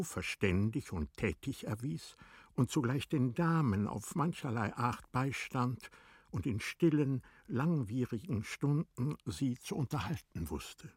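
A man reads literary narration for a radio play in a measured, formal way. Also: medium pitch, breathy edge, no room sound, studio quality.

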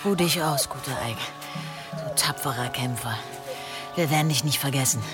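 A woman's voice: extremely low pitch, normal speed. A woman playing a solemn, tender mourner in a radio play, speaking ceremonially. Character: solemn, tender female mourner of a fallen dog. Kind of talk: German radio play acting. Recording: prominent music behind the voice.

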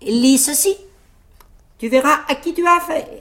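A woman's voice: high-pitched, normal speed, a bit bright, voice pushed up. A woman voicing a boastful, plucky little male tailor in a fairy tale, cocky and full of exclamations. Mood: offended, proud. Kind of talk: fairy-tale storybook acting.

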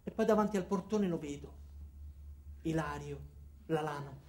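An actor playing Alfredo, an ordinary weary man confiding his story haltingly in a theatrical radio monologue. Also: fairly high pitch, darkish tone, close to an everyday voice. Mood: reflective, weary. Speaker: monologue narrator. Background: music.

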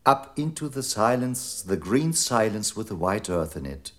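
A low, expressively swooping poetry machine. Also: male voice, big pitch swings, a little slow, fairly bright tone. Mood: lyrical. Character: computer unit reciting poetry in many languages. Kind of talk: radio play poetry recitation.

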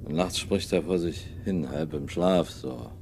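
An actor playing a deferential, sly sailor in a radio drama, talking in a halting and roundabout way. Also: male voice, low voice, fairly even intonation, a little mellow. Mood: roundabout, confiding. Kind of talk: 1950s radio drama acting. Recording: slightly hissy 1950s radio recording.

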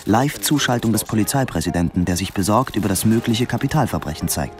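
Low-voiced, officious report reader played by a man. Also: animated, fast talker, close to an everyday voice. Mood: formal, factual. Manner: formal, clipped bureaucratic phrasing. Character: dry, officious male police log announcer. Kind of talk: scripted report narration in audio drama. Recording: radio drama production with music.

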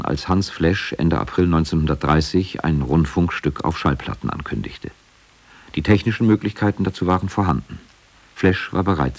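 Male documentary narrator, very low voice, scripted radio feature delivery. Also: creaky, a little mellow, breathy edge.

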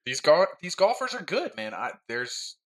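A man's voice: fairly high pitch, normal speed, a crowd in the background. A man on a betting panel, boastful and playful, laughing as he gives his picks. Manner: boastful, animated, laughs a lot. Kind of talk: casual panel chat.

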